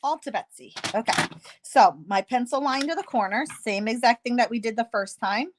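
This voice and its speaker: A woman hosting a casual card-making tutorial, chatty and self-deprecating, slightly hoarse with a stuffy nose. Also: a little high, moderate pace, a little hiss or hum.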